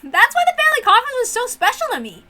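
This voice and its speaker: Cheeky teenage boy acted by a woman reader, bright, brash and teasing, visual novel character. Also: very high-pitched, very animated, racing, voice pushed up, exaggerated voice. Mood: nostalgic, lively.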